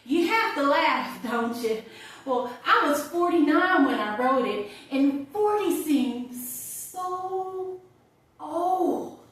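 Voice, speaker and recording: A chatty, wry older woman, acted by a woman in a stage monologue, talks in a high, slow voice with conversational pauses. The room is very echoey around her. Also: lively intonation, a bit bright, far from the mic, heavily acted. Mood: amused, reflective. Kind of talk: theatrical audition monologue.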